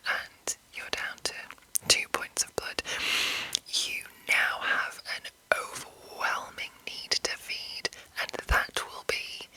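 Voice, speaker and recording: A woman whispering an ASMR explanation in a breathy, informal, rambling and unhurried way. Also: sharp tone, rough recording. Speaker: ASMR host.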